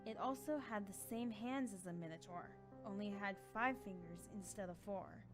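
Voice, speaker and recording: A woman's voice: mid-range voice, normal speed, over music. A woman reading scripted third-person fiction aloud as a storyteller. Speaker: narrator.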